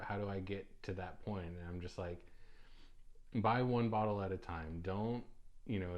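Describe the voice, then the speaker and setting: A young man with a low voice talks loosely on his own podcast. He rambles and restarts often, filling pauses with ums and uhs. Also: muffled recording. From United States. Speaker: podcast host. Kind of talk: casual solo podcast talk.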